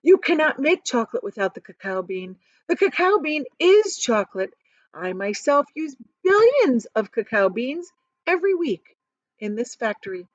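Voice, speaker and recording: A woman acts out an eccentric male chocolate factory owner from a storybook, proud and excitable. The delivery is theatrical and very animated, high in pitch, with key words stretched out. The sound is dry and a little lo-fi. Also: moderate pace, no echo. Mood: eager, persuasive.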